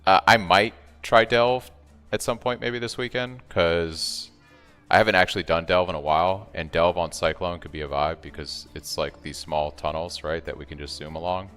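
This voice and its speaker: A man's voice: deep voice, fairly even intonation, normal speed, fairly bright tone, clean tone. A man streaming a game, talking casually and thinking aloud as he answers chat, with a soothing voice. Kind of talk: casual live-stream commentary. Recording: long reverb, faint music behind.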